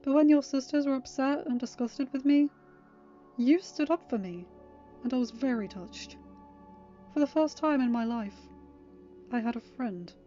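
A woman acting out a protective, wounded male creature of chaos in a story reading. He speaks with theatrical, drawn-out emphasis over music, and the recording is dull and lo-fi. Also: high-pitched, warm tone, voice pushed up, natural voice. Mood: grateful, sincere. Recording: muffled lo-fi audio with music.

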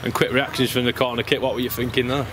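A man's voice: medium pitch, flat delivery, fast. A man asking questions as a club interviewer after a football match, outdoors at a ground. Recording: outdoor stadium with crowd and traffic noise.

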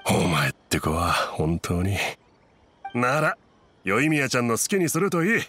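An actor playing a kindly, easygoing middle-aged merchant in an anime game, speaking politely and expressively. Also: male voice, mid-range voice, wildly swooping pitch, a little slow, a bit bright, slight background noise. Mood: grateful, warm. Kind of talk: Japanese anime game voice acting.

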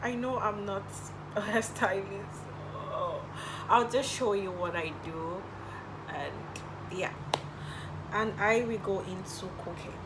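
A woman's voice: medium pitch, slow pace, background noise. A young woman with a Nigerian accent, a native Igbo speaker, chatting casually through get-to-know-me questions in her bedroom, recorded on a camera in front of her. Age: young adult. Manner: chatty, answers questions with little laughs. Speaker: YouTuber. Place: bedroom, indoors. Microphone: camera in front at desk distance.

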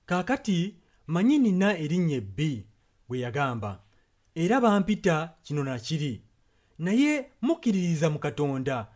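Higher-voiced Ugandan man interpreting a sermon. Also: sing-song, normal speed, darkish tone. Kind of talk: sentence-by-sentence sermon translation.

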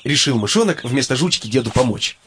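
Animated male fairy-tale narrator. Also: medium pitch, fairly quick, slight background noise.

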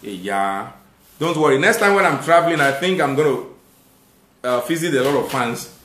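A man in his thirties from Nigeria with a Nigerian accent, hosting a live stream, reading out viewer comments, thanking viewers and speaking with lively conviction when making a point. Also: medium pitch, normal speed. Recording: echoey room.

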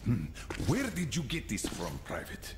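A man playing a gruff, commanding middle-aged colonel of a special task force in a video game. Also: mid-range voice, huge pitch swings, measured pace. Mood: curious, sober. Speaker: military commander. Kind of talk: video game character acting. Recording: echoey game scene with loud noise.

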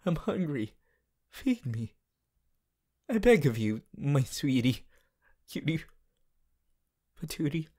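A goofy teenage anime boy , acted by a man, whines and rambles to his sweetheart in an audio roleplay. His drawn-out delivery is slow and hesitant, with pitch that swings widely. He comes across as clingy and playful. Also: big pitch swings, mellow, heavily acted. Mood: playful, pleading. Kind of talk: improvised audio roleplay addressed to the listener.

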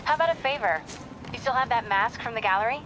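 A woman's voice: a little high, expressive, quick pace, natural voice, rough recording. A woman playing a confident, persistent young American newspaper reporter in a video game, direct and drily teasing. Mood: curious, confident. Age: young adult. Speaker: investigative reporter character. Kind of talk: cinematic video game acting. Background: background music.

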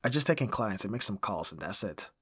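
A man playing a gruff but caring young roommate in an audio roleplay, casual and dryly grumbling, with a slightly husky voice. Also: on the low side, sing-song, fast, pushed and bright, no echo, exaggerated voice. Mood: relaxed, caring. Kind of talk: ASMR audio roleplay acting.

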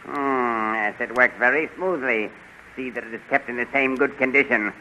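An actor playing a haughty, suspicious usurper king in a 1930s radio adventure serial, giving imperious orders with musing hums and pauses. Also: mid-range voice, bright, forceful tone. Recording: muffled, noisy 1930s radio recording.

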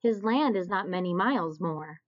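Woman reading as a helpful, lively storybook magpie. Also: lowish voice, normal speed, natural voice. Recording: dull, lo-fi recording.

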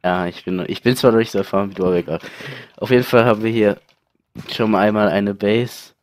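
A man's voice: fairly low pitch, steady tone, fast talker, a little hiss or hum. A young man with a heavy cold, hosting a casual let's play and rambling with many fillers. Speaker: channel host, let's player. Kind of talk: casual gaming chat.

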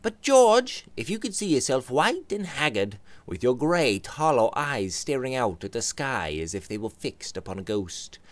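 A man voicing an earnest, persistent young gentleman who presses his friend with questions. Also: medium pitch, very animated, normal speed, hardly any pauses, no echo, voice pushed up, close to an everyday voice. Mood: insistent. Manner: pressing, fussing.

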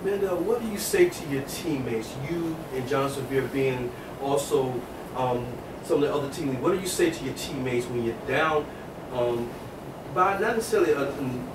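A man asks a long question, stopping and restarting as he goes. He sits off the mic in an echoey room with a clear ambient noise. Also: mid-range voice, recorded from a distance. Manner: rambling, hesitant question. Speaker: reporter. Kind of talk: press conference question.

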